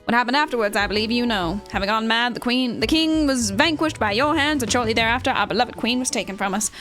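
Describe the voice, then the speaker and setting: Woman reading as a high-voiced, courteous tournament promoter. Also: expressive, fast, fairly bright tone, faint music behind. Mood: earnest, formal. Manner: formal, courteous, showy. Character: polite, theatrical tournament promoter and arena commentator. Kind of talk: reading game dialogue aloud.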